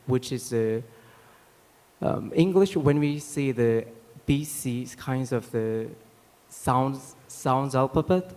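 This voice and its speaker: A man's voice: mid-range voice, slow, a little mellow. Korean-accented man speaking in a hall. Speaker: panel guest. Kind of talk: spontaneous panel talk. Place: hall on a stage, live event. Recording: echoey hall sound.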